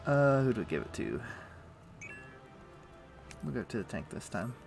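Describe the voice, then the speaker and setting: A man's voice: medium pitch, big pitch swings, moderate pace, creaky. A man who streams games, chatting casually and thinking aloud in a rambling way as he plays. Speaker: game streamer. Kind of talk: casual livestream gameplay chat. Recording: loud game music behind voice. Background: video game music.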